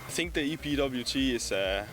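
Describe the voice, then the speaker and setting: A man's voice: medium pitch, lively intonation, music in the background. Hesitant man from Denmark. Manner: hesitant, pauses with "uh". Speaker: competitor. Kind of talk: casual interview answers.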